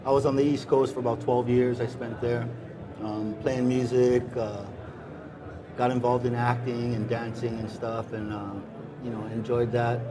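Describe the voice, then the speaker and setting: A middle-aged man from Hawaii talks through his musical past in an easygoing, meandering way, full of ums and second starts. His voice sits at an ordinary middle pitch. A loud crowd murmurs behind him the whole time. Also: normal speed. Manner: relaxed, rambling with many ums and restarts. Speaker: musician guest. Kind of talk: casual interview answers. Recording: busy crowd noise behind.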